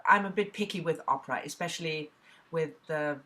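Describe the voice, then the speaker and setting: A woman's voice: low voice, a little fast, pushed and bright. A middle-aged woman from southwest Germany with a German accent, chatting casually to camera as a book vlogger, with frequent fillers and restarts.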